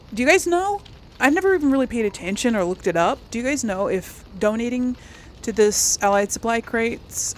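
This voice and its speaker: Female gaming host, unhurried casual commentary, medium pitch, chatty with viewers, faint noise behind. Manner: chatty, addresses viewers, giggly asides. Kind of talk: casual let's play commentary.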